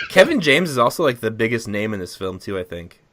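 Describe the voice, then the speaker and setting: A man with lively, swinging intonation speaks in a very dry recording. He chats casually as a podcast panelist, playful and mock-earnest in his reactions. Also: mid-range voice, moderate pace, dry recording. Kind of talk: casual comedic chat.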